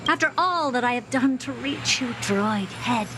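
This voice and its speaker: A woman's voice: high-pitched, expressive, normal speed, noisy background. A woman voicing a haughty, smug genius scholar in a video game, speaking in a pompous, mocking, theatrical manner. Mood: intent, wondering. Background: music.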